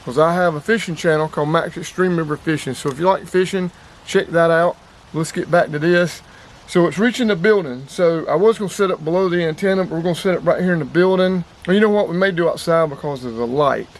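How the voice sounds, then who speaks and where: A man's voice: on the high side, fast, rough recording. An American man giving a casual hands-on demo, talking straight to viewers in a folksy way. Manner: folksy, addresses viewers directly. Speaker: outdoors channel host and radio operator. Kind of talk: casual hands-on demonstration vlog.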